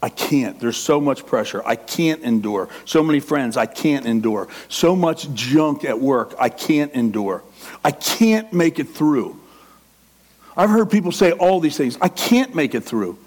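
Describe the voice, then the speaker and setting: A man's voice: on the high side, lively intonation, measured pace. A middle-aged man preaching a sermon with passion, acting out the inner voice of a struggling believer. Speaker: pastor.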